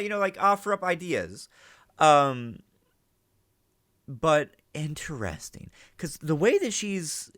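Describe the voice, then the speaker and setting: A man's voice: a little high, a bit bright, dry recording, studio quality. A man giving casual reaction commentary, thinking aloud and often checking in with rhetorical questions. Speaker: reaction and analysis host.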